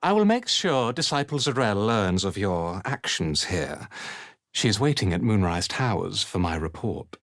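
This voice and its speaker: Video game villain: male cult commander, low voice, condescending and menacing, with wide swings in pitch. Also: very animated, unhurried, a bit bright, no echo, studio quality, heavily acted. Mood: cold, commanding. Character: cold, haughty male commander of a fanatical cult. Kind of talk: video game character acting.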